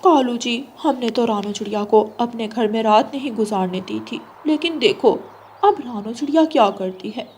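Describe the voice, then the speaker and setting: Cartoon crow wife, acted by a woman: bossy, dramatic, emphatic, raised pitch, faint background noise. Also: normal speed, a little mellow, close to an everyday voice. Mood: uneasy. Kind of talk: Hindi children's cartoon character voice.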